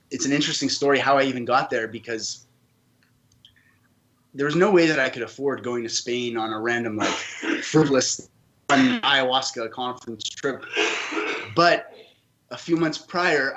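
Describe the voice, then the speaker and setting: A man's voice: mid-range voice, pushed and bright, low-quality recording. A man who grew up in Canada, a podcast guest telling his own story in an earnest, open way. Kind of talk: casual interview talk.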